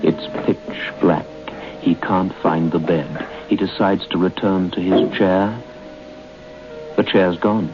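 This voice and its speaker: An actor playing an ominous storyteller, narrating an old-time radio horror tale in deliberate short phrases. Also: male voice, sits low, steady tone, over music. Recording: muffled, noisy old radio broadcast.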